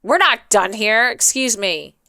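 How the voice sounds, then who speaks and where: A woman's voice: fairly high pitch, animated, a little slow, vocal fry, pushed and bright, dry recording, studio quality. A woman streaming a let's play, reading a visual novel aloud with playful, dramatic, giggly asides. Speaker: gaming streamer. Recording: music behind the voice. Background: game music.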